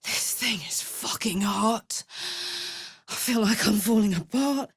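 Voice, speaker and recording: An actress playing a brash, warm-hearted warrior woman in a video game, with an earnest, salty, blunt manner. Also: medium pitch, slow, slightly rough, no room sound, heavily acted. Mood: fierce, exasperated. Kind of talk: video game character voice lines.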